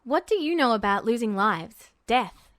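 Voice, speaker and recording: A woman's voice: fairly high pitch, animated, normal speed, fairly bright tone, no room sound. Brash, irritable young man played by a female reader, casual story dialogue, blunt delivery, dry sound. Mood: uneasy.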